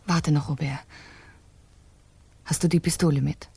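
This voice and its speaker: Radio thriller: cold plotting wife, husky and breathy, medium pitch, recorded dry. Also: female voice, steady tone, unhurried, no room sound, exaggerated voice. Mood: tense, hushed. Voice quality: breathy, hushed. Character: cool, scheming young wife plotting murder. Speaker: actor in a radio crime drama. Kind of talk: 1970s German radio play acting.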